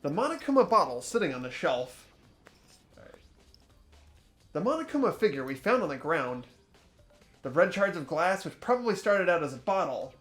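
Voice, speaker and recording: A man's voice: fairly high pitch, very animated, normal speed, pauses often, fairly bright tone. A man playing an earnest teenage boy detective, reading a video game script aloud over music. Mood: earnest, pondering. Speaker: player voicing a game protagonist. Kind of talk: voiced let's play, reading game script.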